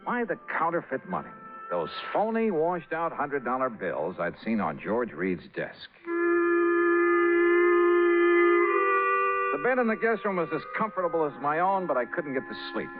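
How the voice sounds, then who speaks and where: An actor playing a wry, tough, skeptical American insurance investigator, narrating in 1950s hardboiled radio drama style. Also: male voice, a little high, wildly swooping pitch, a little fast, few pauses. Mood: intent, hushed. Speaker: narrator and lead detective. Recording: tinny vintage radio with music.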